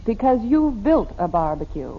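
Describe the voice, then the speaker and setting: An actress playing a sensible middle-aged American housewife and mother, wry and gently needling, in 1950s radio sitcom style. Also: on the high side, sing-song, normal speed. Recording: noisy, dull old radio recording.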